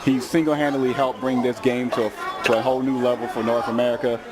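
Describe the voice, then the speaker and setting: A man with a mid-pitched voice gives casual colour commentary at a live gaming event. His talk is laid-back and slangy, and a crowd is clearly heard behind him. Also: level tone, quick pace. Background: crowd at a gaming tournament.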